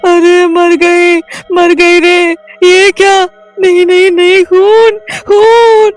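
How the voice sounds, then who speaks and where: A jumpy young village woman in a spooky cartoon, voiced by a woman, high and shrill and quick to wail. Her voice swoops across a wide range, and a faint music bed sits behind her. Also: big pitch swings, darkish tone, full character voice. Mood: panicked. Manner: shrill and wailing, easily frightened. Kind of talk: cartoon voice acting.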